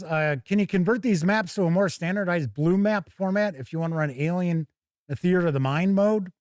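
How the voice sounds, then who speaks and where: A man's voice: high voice, darkish tone, no echo, studio quality. A man hosting a tabletop gaming livestream, chatting casually and informally to viewers as he thinks aloud. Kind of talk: casual live unboxing chat to viewers.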